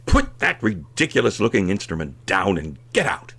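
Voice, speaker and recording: A man's voice: fairly low pitch, huge pitch swings, moderate pace, gravelly, a bit bright, slightly rough, exaggerated voice. A man playing a stern, pompous, huffing school principal in old-time radio comedy style. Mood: indignant. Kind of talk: 1940s radio comedy acting.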